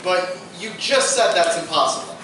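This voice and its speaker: A man's voice: high voice, lively intonation, moderate pace, pushed and bright. A man playing a blunt, impatient college art student with a dry delivery in live radio theatre. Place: live stage performance in echoey room. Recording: very echoey hall, distant mic, noisy.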